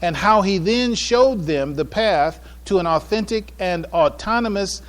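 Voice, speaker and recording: Halting man giving a public address. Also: high-pitched, animated, fairly bright tone. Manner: halting, repeats and restarts phrases, trailing asides. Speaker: conference speaker presenting a paper. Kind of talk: public address, informal preamble then reading a paper aloud. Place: public event before an audience.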